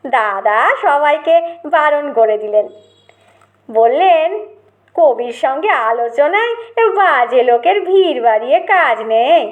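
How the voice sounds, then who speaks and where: A woman plays a meek young man in a comic audio story. The high voice stretches its words out with servile politeness, always deferring to elders and guests. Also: expressive, slow, narrow, tinny audio. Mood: deferential.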